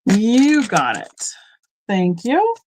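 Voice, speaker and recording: A middle-aged woman from Colorado runs a live online sale in a relaxed, chatty way. She wanders into friendly asides and fills the gaps with ums. Her pitch sits in an ordinary middle range. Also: very slow, a little hiss or hum, rough recording. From Colorado, United States. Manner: chatty, rambling asides, frequent ums and laughs. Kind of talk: casual live-stream selling chat.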